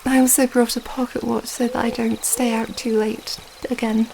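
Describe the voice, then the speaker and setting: A woman playing an earnest, affectionate, slightly flustered young princess in an intimate ASMR romance roleplay, speaking softly and hesitantly, rambling gently. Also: on the high side, flat delivery, moderate pace, creaky, heavy background noise. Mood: tender, shy.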